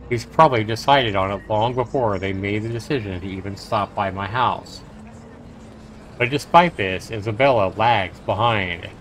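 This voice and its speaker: Male streamer, lowish voice, reads story narration aloud, comments along, faint background noise. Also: fairly bright tone. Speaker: streamer and host. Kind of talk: game livestream, reading narration aloud with commentary.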